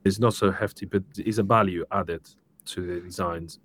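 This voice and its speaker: A man from Spain in his thirties, speaking with a Spanish accent as a live-stream interview guest, relaxed and lightly joking. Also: sits low, moderate pace. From Spain, Seville. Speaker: guest, interior design studio director.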